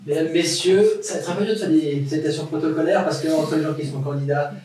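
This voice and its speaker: A man's voice: on the high side, steady tone, fast talker, a little mellow, a little hiss or hum. A man giving a formal welcome speech as a local official, with hesitant repetitions and rhetorical questions. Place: large hall at an event. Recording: echoey hall, distant mic.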